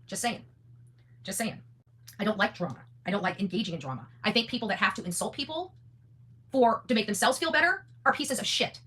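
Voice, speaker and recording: A woman's voice: medium pitch, expressive, a little fast, sharp tone. A woman hosting an unscripted, opinionated monologue, blunt and defiant, often repeating phrases for emphasis and swearing freely. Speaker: online content creator and host.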